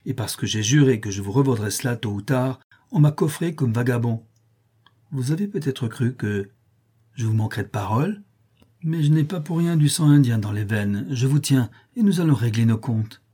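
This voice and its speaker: A man's voice: mid-range voice, expressive, normal speed, mellow, slightly rough. A man voicing a vengeful, threatening male grave robber. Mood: menacing. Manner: threatening, bitter.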